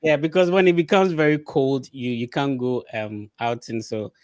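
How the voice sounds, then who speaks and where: A man's voice: mid-range voice, fairly even intonation, moderate pace, darkish tone, no echo. Casual male online show host, dry sound. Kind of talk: casual live interview hosting. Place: live online video call.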